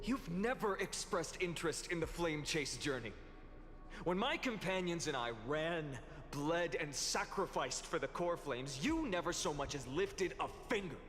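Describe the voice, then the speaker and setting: A man playing a weary but determined young heroic lord in a fantasy game, speaking in an earnest, dramatic, measured way. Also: fairly high pitch, expressive, fairly bright tone. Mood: accusing. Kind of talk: scripted fantasy game voice acting. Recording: long hall reverb over music.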